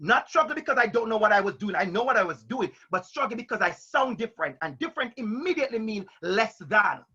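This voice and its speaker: A man's voice: high voice, very animated, quick pace, few pauses, pushed and bright, slightly rough, muffled recording. A man with a Jamaican accent speaking emphatically on a panel for school principals, asking rhetorical questions.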